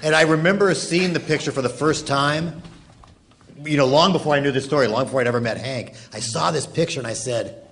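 A man's voice: medium pitch, a little fast, fairly bright tone. A man moderating a live panel, explaining background stories in an informal, conversational way. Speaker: interview moderator. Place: live event in a theatre. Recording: live event pickup, faint noise.

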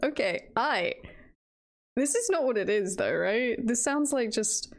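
A woman's voice: a little high, expressive, a little slow. A woman chatting casually on a livestream in a giggly, playful, rambling way. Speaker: livestreamer, virtual avatar host.

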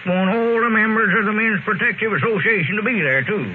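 An actor playing a pompous, alarmist small-town squire, urgent and oratorical, in classic radio comedy style. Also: male voice, high voice, fairly quick, close to an everyday voice. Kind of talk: 1930s-40s radio comedy acting. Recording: faintly noisy, narrow-band old radio recording.

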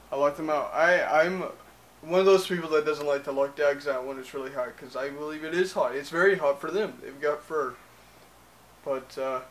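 A man's voice: on the high side, fairly bright tone, a little hiss or hum. Rambling young man vlogging at arm's length. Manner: rambling, filler-heavy, loose and chatty. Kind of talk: casual vlog chat. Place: small cluttered spare bedroom indoors. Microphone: handheld camera at arm's length, moving around.

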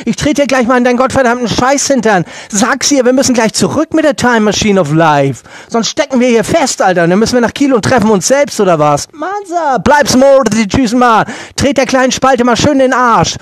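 A brash, foul-mouthed male time traveller, played by a man in a crude comedy play. He taunts in slangy speech with English words thrown in, high-pitched and swooping, very dry and close. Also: animated, fairly quick, hardly any pauses, dry recording. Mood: bossy, excited.

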